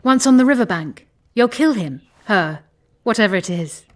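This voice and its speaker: An actress playing a haughty, self-assured sorceress in a video game, speaking in a cool, imperious way. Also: female voice, medium pitch, lively intonation, normal speed, lightly acted. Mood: commanding, teasing.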